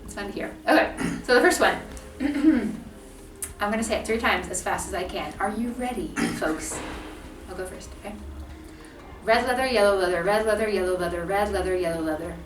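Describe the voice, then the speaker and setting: A woman's voice: mid-range voice, normal speed. A talkative young American woman chats casually, dropping "like" often and laughing easily. Her voice sits in the middle of the range. It rings in a roomy space, picked up from a little way off. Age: young adult. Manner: chatty, laughs often, many "like" fillers. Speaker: co-host. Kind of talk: casual chat. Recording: echoey room, mic at a distance, music behind.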